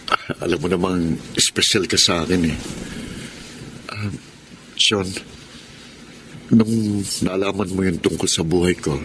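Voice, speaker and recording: A man's voice: low-pitched, steady tone, unhurried, long pauses, a bit bright, a little hiss or hum, rough recording. An actor with a Filipino accent playing an earnest, humble working-class man courting his sweetheart in a radio drama. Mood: earnest, pleading.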